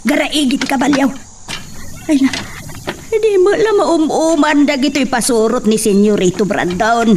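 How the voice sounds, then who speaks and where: A woman's voice: sits high, expressive, normal speed, a little mellow. Woman acting a fretful mother, distant mic. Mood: anxious. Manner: anxious, lamenting. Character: worried, fretful middle-aged peasant mother. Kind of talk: audio soap drama acting. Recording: distant mic, faint background noise.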